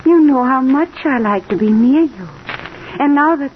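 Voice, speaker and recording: Childlike, devoted sister in vintage radio drama: high, unhurried, very melodic delivery. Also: female voice, expressive. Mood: pleading, wistful. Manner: naive, eager, girlish. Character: childlike, clinging, trusting sister of a pianist. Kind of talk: old-time radio drama acting. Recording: muffled, noisy vintage radio recording.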